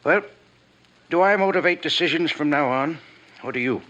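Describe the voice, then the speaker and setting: A man's voice: a little high, moderate pace, a bit bright, natural voice. A man playing an anxious, cautious, fatalistic private operative in a 1950s radio drama, fretful and hesitant. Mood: worried. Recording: muffled 1950s radio recording.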